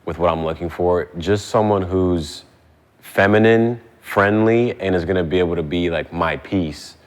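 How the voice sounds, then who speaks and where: A man's voice: low voice, moderate pace, in a large hall. A man from Southern Maryland, a dating show contestant talking in an easygoing, curious way. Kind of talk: casual chat.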